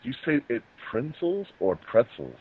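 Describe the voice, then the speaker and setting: Animated man on a tinny call. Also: mid-range voice, a little slow, breathy edge. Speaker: co-host. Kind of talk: casual joking film commentary among friends. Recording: tinny, band-limited voice-call audio.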